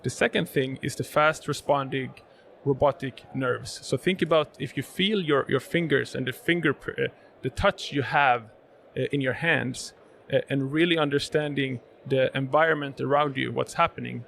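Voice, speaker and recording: A man's voice: medium pitch, moderate pace, vocal fry, fairly bright tone, a little hiss or hum. A Swedish man whose first language is Swedish, a guest explaining robotics in an interview, with many fillers and repeated words. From Sweden. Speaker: guest, robotics sales manager. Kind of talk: conversational interview answers. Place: live event podcast booth.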